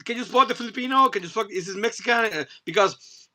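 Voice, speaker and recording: A man's voice: sits high, quick pace, crowd noise. A man from Colombia who speaks Spanish natively, chatting as a guest toy reviewer in English with a Colombian accent, often stammering and repeating words. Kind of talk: casual chat on a live stream.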